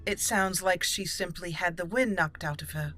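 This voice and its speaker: Woman, bright voice, let's play commentary, formal roleplay diction, game music in background. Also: on the low side, normal speed.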